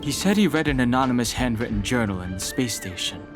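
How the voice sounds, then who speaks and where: A man plays a serious young guard in a video game. He is reserved and deadpan, giving calm, matter-of-fact instructions over background music. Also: medium pitch, quick pace, close to an everyday voice.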